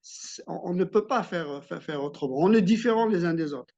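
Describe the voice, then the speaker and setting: A man's voice: high-pitched, animated, moderate pace, a little mellow. A man from Algeria, an author answering interview questions in a reflective way, often restarting and repeating words. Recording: muffled lo-fi sound.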